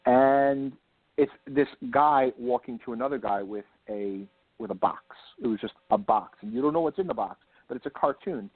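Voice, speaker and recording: A man speaking as an author guest in a casual interview, enthusiastic and rambling, repeating words for emphasis, with a slightly husky voice. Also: mid-range voice, moderate pace. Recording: lo-fi phone-like line, roomy echo.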